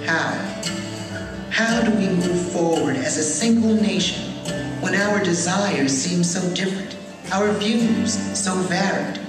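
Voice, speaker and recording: Far off in a big echoing hall, a woman narrates a documentary over loud plucked-string music. Her delivery is slow, measured and solemn. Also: lowish voice. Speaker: film narrator. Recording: echoey hall playback, distant, loud music behind.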